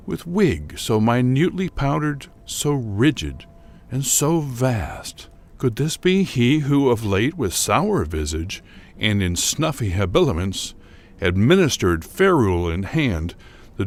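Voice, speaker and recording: A man with a very low voice narrates gothic horror in a formal, theatrical way. His pitch swings widely as he leans on key words with emphasis. The delivery is scripted and dramatic, suited to an audio drama.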